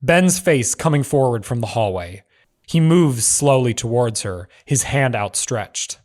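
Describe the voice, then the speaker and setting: A man narrating screenplay stage directions in measured, clipped phrases. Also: medium pitch, animated, a little mellow.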